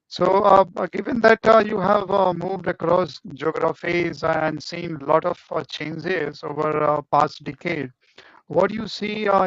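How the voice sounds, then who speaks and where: A man's voice: on the high side, fairly bright tone, no room sound. A man hosting a live video-call interview, asking questions hesitantly with many fillers. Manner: hesitant, frequent uh fillers between phrases. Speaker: host, interviewer. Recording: dull dry video-call audio.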